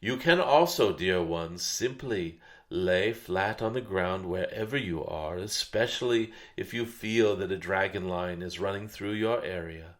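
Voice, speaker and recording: Guy doing an ancient wise dragon spirit, really slow n lowish, gentle guided meditation vibe, little hums between phrases. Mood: gentle, instructing. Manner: slow, solemn, pausing with murmured hums. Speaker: spiritual channeler. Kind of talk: channeled spiritual message, guided-meditation delivery.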